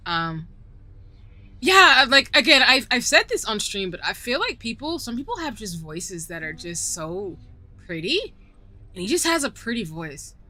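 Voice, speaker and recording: A woman's voice: on the high side, big pitch swings, unhurried, bright, forceful tone. A young woman with a Southern US accent chatting casually on a live stream while gaming, giggly and rambling with lots of fillers. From southern United States. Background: faint background music.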